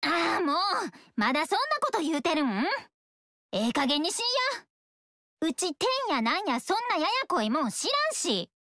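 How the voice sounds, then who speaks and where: An actress voicing a blunt, carefree young shrine maiden who talks with a Kansai accent. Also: female voice, high voice, big pitch swings, normal speed, a bit bright, no room sound, clean studio sound, heavily acted. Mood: annoyed. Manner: blunt, teasing, dismissive. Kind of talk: game voice acting.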